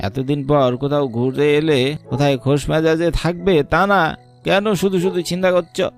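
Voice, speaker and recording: Man acting a higher-voiced, carefree young husband. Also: little pitch movement, a little fast, a little mellow, soft background music, lightly acted. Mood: cheerful coaxing. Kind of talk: cartoon dialogue acting.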